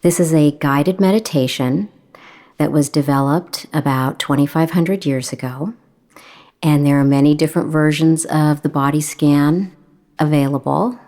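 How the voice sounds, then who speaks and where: A woman meditation instructor giving calm guidance in a gentle, measured manner, leaving long pauses between phrases. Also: sits low.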